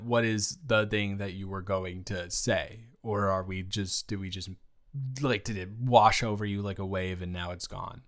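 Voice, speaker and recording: A man playing a teasing, supportive male roommate in an improvised romantic audio roleplay, talking casually and stammering, with animated outbursts. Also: fairly low pitch. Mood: casual, curious. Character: male roommate and friend, teasing, supportive, indignant on her behalf.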